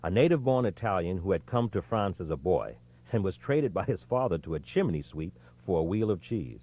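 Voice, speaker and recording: A man with a lowish voice narrates an audiobook in a scripted, storytelling read. His intonation swings widely, with emphatic phrasing. The audio is slightly dull and lo-fi. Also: big pitch swings, brisk, warm tone, breathy edge. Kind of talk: scripted narration, reading a history book aloud.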